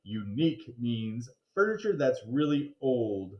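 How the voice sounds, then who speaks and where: A man voicing a cheeky, boastful second-grade boy from a children's storybook, excitable and teasing. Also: mid-range voice, measured pace, highs cut off, voice pushed down. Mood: gloating. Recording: roomy, echoing sound.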